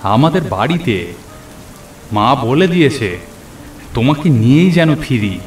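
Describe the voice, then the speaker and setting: Young nephew as horror-story narrator, male actor: mid-pitched, dramatic delivery, roomy echo. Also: very animated, measured pace, soft background music. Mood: easy, affectionate. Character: young nephew narrating his night of terror. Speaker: narrator and character. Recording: roomy echo, faint rain and thunder.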